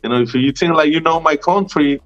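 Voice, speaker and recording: A Colombian man in his twenties, a native Spanish speaker with a Colombian accent, chatting as a guest in a relaxed, rambling way full of "like" and "you know". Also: a little high, fairly quick, dry recording, lo-fi sound, faint music behind. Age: 20s. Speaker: tour guide, guest. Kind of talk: casual chat.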